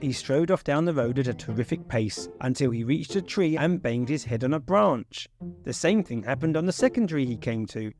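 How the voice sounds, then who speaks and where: A man reading a children's story aloud as an expressive storyteller. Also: mid-range voice, normal speed, soft background music.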